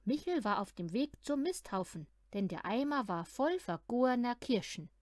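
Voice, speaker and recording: Female storyteller for children's audiobook, very animated, measured pace, dry close sound. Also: fairly high pitch, dry recording. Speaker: audiobook reader of children's stories. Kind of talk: expressive storytelling narration for children.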